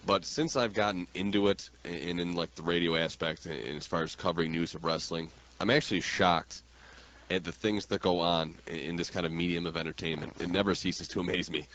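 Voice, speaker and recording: Low-voiced man hosting, slightly noisy audio. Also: fairly even intonation, fairly quick, fairly bright tone. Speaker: radio show host. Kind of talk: casual sports talk hosting. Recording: dull lo-fi radio audio, faint noise.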